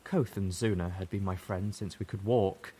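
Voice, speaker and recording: A man's voice: sits low, fairly even intonation, dark tone, husky. A man voicing a grieving young zebra colt. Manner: halting, choked speech. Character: grieving young male zebra, shaken and tearful.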